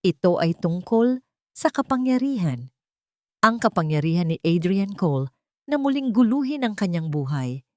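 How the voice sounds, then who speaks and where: A woman's voice: medium pitch, sing-song, moderate pace, no room sound, clean studio sound. A woman with a Filipino accent narrating a scripted romantic drama as a storyteller.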